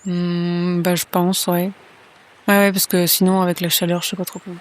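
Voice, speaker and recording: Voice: medium pitch, monotone, normal speed. A player in a tabletop horror game, saying what their character does in a tentative way and thinking aloud. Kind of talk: casual play talk, declaring actions.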